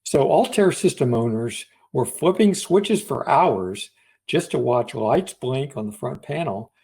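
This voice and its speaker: A man's voice: medium pitch, expressive, a little slow, creaky, darkish tone, breathy edge. A man co-hosting a casual, conversational discussion of computer history. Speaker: podcast co-host.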